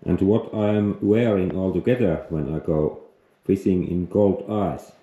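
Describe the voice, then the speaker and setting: Slow, deep-voiced Finnish man. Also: dark tone, roomy sound, highs cut off. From Finland. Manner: halting non-native English with many pauses. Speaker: outdoors vlogger. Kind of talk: casual instructional vlog. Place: outdoors on a frozen lake, later indoors.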